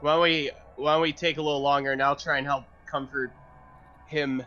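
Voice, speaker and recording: A man's voice: on the high side, flat delivery, brisk, pushed and bright, soft background music. A man chatting casually and swearing as a player in a tabletop game, recorded with slightly dull sound.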